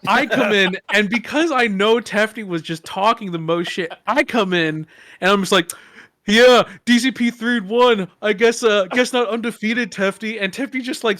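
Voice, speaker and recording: A man with a soft voice sits in on a casual podcast chat. His pitch runs high and his tone is bright. He often restarts his sentences as he tells a story. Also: a little slow, no room sound. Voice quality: fairly soft voice. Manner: animated, restarts phrases often. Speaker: podcast guest.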